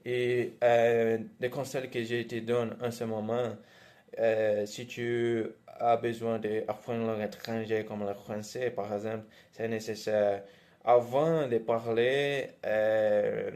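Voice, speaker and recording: A man speaking with a Portuguese accent, as a native Portuguese speaker, talks in a steady, mid-pitched voice with little rise or fall. He sounds like a teacher addressing viewers directly with encouragement. His speech is full of hesitations, fillers and self-corrections. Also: slow pace. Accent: Portuguese-speaking, Brazilian. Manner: hesitant, many "euh" fillers and restarts. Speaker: language teacher. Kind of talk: casual vlog advice to learners.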